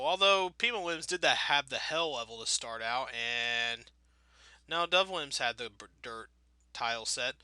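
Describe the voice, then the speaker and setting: A man's voice: fairly high pitch, pushed and bright, no echo. A man giving casual, rambling video game commentary as a let's play host, wordy, wry and self-deprecating.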